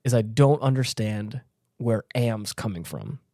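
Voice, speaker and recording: A man's voice: lowish voice, animated, dark tone, dry recording, studio quality. A man co-hosting a podcast, arguing a point casually and emphatically, often repeating himself and restarting mid-thought. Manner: halting, repetitive, emphatic arguing. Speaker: podcast co-host. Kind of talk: casual opinionated discussion.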